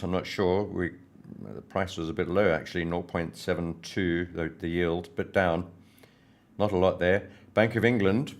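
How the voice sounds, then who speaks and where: A hoarse man hosting a trading livestream, greeting viewers by name in a friendly, rambling way before going through market news. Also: sits low. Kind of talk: casual live-stream chat and market commentary.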